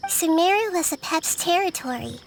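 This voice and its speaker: A woman voicing a gentle, wise young goddess who explains things in a calm, measured, thoughtful way in video game dialogue. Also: extremely high pitch, vocal fry, slight background noise, exaggerated voice. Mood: calm, reflective.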